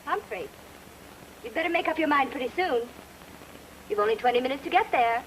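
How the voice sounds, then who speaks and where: A woman's voice: high-pitched, lively intonation, fairly quick. An actress playing a bossy, stubborn woman who nags insistently in old film noir style. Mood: impatient. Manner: insistent, nagging. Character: bossy, stubborn, headstrong wife or girlfriend. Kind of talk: 1940s film noir dialogue. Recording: noisy old film soundtrack with clicks.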